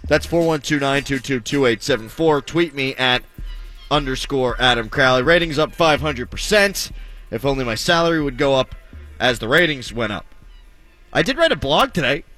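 A man's voice: mid-range voice, lively intonation, moderate pace, sharp tone, faint music behind. A man hosting a sports talk radio show, joking and wry in his delivery.

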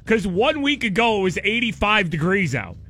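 A high, bright male voice with a sore, scratchy throat, talking fast and excitedly as a morning radio co-host. He jokes and teases in loose, sarcastic banter, and keeps going while his voice gives out. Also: breathy edge.

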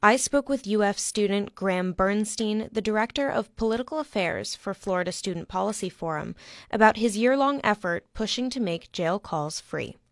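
A woman's voice: mid-range voice, animated, normal speed, no room sound. American woman news host, dry close sound. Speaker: radio news podcast host, interviewer. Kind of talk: news narration and interview questions.

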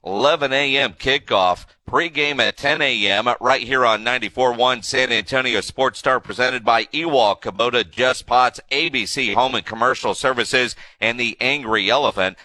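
A man's voice: mid-range voice, normal speed, hardly any pauses, bright, forceful tone, no echo. An American man hosting a casual sports talk radio show, bantering with his guests. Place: radio studio.

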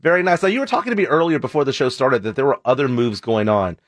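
A man hosting a sports radio show, chatty and upbeat, his voice scratchy and hoarse from an illness. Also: mid-range voice, expressive, no room sound, clean studio sound. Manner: upbeat, chatty, quick banter. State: hoarse, ill. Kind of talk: casual sports radio talk. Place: radio studio.

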